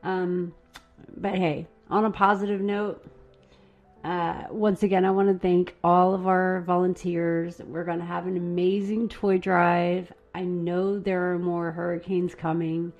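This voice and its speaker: A woman hosting a live stream, chatting casually and rambling a little while her voice is going hoarse. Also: on the low side, little pitch movement, a little slow, darkish tone, highs cut off, faint music behind. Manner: casual, rambling, laughs at herself. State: losing her voice. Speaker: host.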